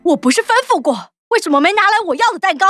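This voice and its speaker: A woman voicing a greedy, spoiled young princess in a cartoon, shouting shrill orders at her servants. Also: expressive, rapid-fire, no echo. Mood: bossy, greedy. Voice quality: shrill and demanding. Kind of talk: cartoon dubbing. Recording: dry close studio voice with music.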